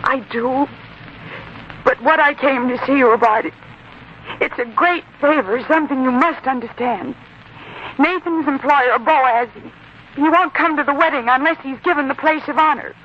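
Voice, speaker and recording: A woman's voice: sits high, normal speed, lightly acted. A young woman acting an earnest, anxious bride who pleads for help in a 1940s radio drama. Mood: anxious, persuading. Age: young adult. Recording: muffled, noisy 1940s radio recording.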